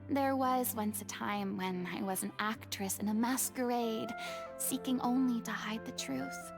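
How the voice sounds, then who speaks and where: An actress voicing a poised, eloquent former goddess turned actress in a game, speaking in a formal, reflective way. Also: female voice, fairly high pitch, fairly even intonation, unhurried, creaky, music in the background. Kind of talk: game voice acting.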